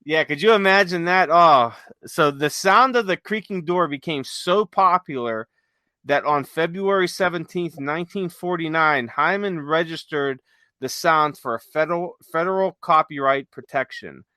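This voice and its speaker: Bright, higher-pitched male podcast co-host. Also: normal speed, clear, resonant tone, no echo. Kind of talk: casual chat with some read-aloud notes.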